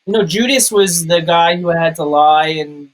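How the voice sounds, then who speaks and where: Higher-voiced man, tentative movie chat. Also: moderate pace, rough recording. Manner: tentative, hedging. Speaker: film review show co-host. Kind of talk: casual movie discussion.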